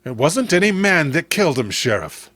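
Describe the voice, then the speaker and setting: Man acting a grave, steady sheriff's deputy. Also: fairly high pitch, normal speed, no echo. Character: steady, plain-spoken male sheriff's deputy on a manhunt.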